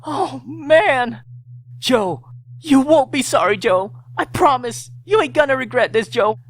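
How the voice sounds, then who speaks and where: A woman's voice: high-pitched, animated, measured pace. A woman voicing a desperate, chummy hunter who wheedles and pleads in slangy talk, in a dramatized fan fiction reading. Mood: gushing, pleading. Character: desperate, wheedling, fast-talking hunter begging a monster for mercy.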